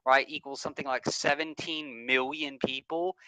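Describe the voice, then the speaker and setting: A man's voice: medium pitch, fairly even intonation, normal speed, pushed and bright, no echo, low-quality recording. A man in a heated online debate over voice chat, talking over his opponent and restarting his sentences as he argues. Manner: talks over the other side, repeats and restarts under pressure.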